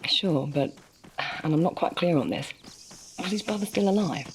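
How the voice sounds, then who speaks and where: An actress playing a curious interviewer asking measured, probing questions over a phone call. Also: female voice, on the low side, expressive, a little hiss or hum. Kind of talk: video game cutscene dialogue.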